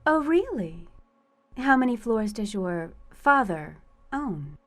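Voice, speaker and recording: A woman's voice: a little high, big pitch swings, takes it slowly, heavily acted. A woman playing a sly, flirtatious lady cat burglar in a radio suspense drama, with a coy, teasing manner. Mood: teasing, curious.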